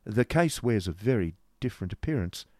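A man's voice: fairly low pitch, expressive, brisk, warm tone, no echo, clean studio sound. A male reader voicing a mincing, genteel woman of fifty who speaks primly and hesitantly. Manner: prim, dainty, hesitant with little coughs. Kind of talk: audiobook character voice.

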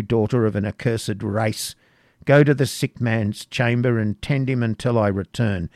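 A man's voice: medium pitch, a little mellow, no room sound, clean studio sound. A man voicing a harsh, scolding old crone who guards a castle turret, reading a classic novel aloud. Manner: scolding, commanding.

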